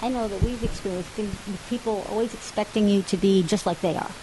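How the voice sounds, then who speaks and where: Animated woman co-hosting a chat show. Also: mid-range voice, quick pace, a little hiss or hum, poor audio. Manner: animated, acts out quoted voices, laughs often. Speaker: co-host. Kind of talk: casual talk-show chat. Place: TV studio.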